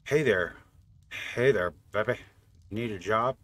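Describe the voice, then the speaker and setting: A man's voice: lowish voice, measured pace, fairly bright tone, no room sound. A man giving casual, offhand gameplay commentary, thinking aloud as a channel host. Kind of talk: casual game mod test commentary.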